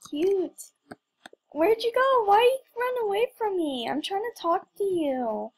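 High-pitched young woman, playfully dramatic. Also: expressive, a little slow. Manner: playful mock drama, exclamations. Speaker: gamer recording gameplay. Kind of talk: casual playful game commentary.

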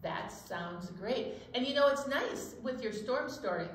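Casting: middle-aged female children's storytime host, high voice, chatty address to kids, echoey room. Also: expressive, unhurried. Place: indoor library storytime set, seated. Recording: echoey room, faint noise.

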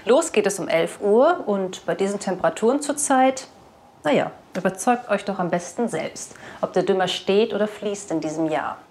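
A woman's voice: mid-range voice, expressive, moderate pace, darkish tone. Young woman presenting scripted news. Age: young adult. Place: indoor studio. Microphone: clip-on lapel microphone.

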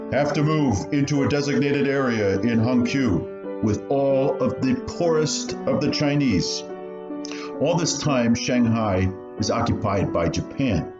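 A man's voice: mid-range voice, close to an everyday voice. An actor playing an old man who reminisces about his refugee childhood in a radio play, telling it like a story. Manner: storytelling, hesitant while remembering. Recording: muffled, roomy, music underneath.

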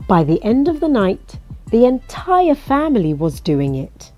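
A woman reading a children's picture book aloud in an expressive, coaxing way, as if to young listeners. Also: on the high side, measured pace, faint music behind. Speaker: storybook reader.

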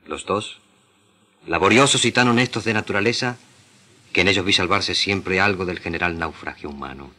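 A man with a very low, forceful voice recites literary prose like a trained radio actor. His delivery is solemn and measured, broken by deliberate pauses. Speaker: radio drama actor reading literary excerpts.

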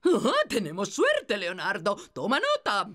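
A woman voicing an excitable, exclamatory comic bird in a cartoon. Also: medium pitch, measured pace. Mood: showing off. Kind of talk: exaggerated cartoon voice acting. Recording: music behind.

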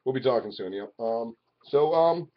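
A man in his forties talking to a webcam in his living room, rambling and swinging from loud outbursts to low mutters. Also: expressive, moderate pace. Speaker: vlogger. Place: living room at home, indoors. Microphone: webcam at arm's length. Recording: dull lo-fi webcam audio.

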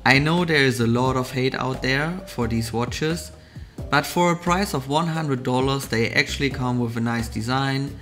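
A man's voice: mid-range voice, normal speed. A man hosting a watch vlog, narrating a mall shopping trip in an enthusiastic, explanatory voice-over. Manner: enthusiastic, explanatory product commentary. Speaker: vlogger, watch channel host. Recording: soft music underneath.

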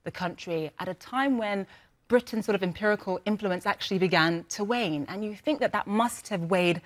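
A woman news anchor, raised in the UK with family from Nigeria, speaking in a measured, reflective way on a live news panel. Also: mid-range voice.

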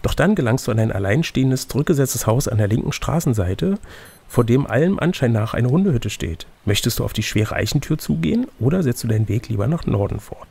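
A man's voice: mid-range voice, lively intonation, brisk, creaky, a little mellow, husky. A man acting as game master, reading an adventure gamebook aloud like a storybook, with playful, chatty asides. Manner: playful, chatty asides between read passages. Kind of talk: storybook narration mixed with casual banter.

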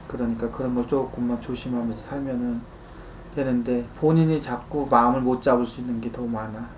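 A man's voice: mid-range voice, steady tone, brisk. A man in his thirties speaks as a fortune-teller giving informal counsel. He is blunt and admonishing, checking in with short grunted questions. The room rings a little, with faint noise underneath. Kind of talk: spoken consultation, informal advice. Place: small indoor consultation room. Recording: roomy echo, faint background noise.

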